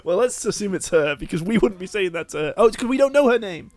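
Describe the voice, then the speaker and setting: A man's voice: high voice, lively intonation, fast, a little mellow, no room sound. A British man co-hosting a let's play with joking, sweary casual commentary, sometimes reading the game text aloud.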